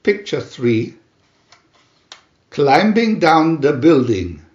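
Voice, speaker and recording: A man reading a comic adventure booklet aloud as a dramatic narrator, announcing each part with theatrical pauses. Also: a little high, big pitch swings, a little mellow, echoey room, lo-fi sound.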